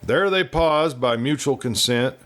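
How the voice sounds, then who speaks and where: A man's voice: mid-range voice, lively intonation, unhurried, fairly bright tone. Guy narrating an old western story, regular mid voice, takes his time and puts expression in it. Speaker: audiobook narrator.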